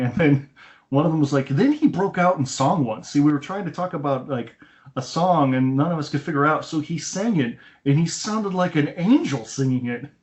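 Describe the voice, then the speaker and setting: A man's voice: medium pitch, a little mellow. A man co-hosting a casual film review podcast, telling rambling anecdotes over an internet call. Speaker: podcast co-host. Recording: dull lo-fi internet call audio.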